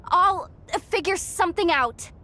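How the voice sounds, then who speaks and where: A woman's voice: extremely high pitch, normal speed, sharp tone. A woman voicing a plucky, flustered young female technician in an anime video game, often talking to herself. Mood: hurried.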